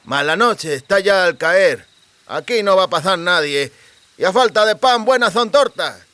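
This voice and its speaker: A man's voice: sits high, lively intonation, normal speed, sharp tone, no echo, pitched above the performer's own voice. A man voicing a menacing rustic bandit with a lisp and mock-polite, folksy speech in an audiobook. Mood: mocking menace. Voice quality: lisping.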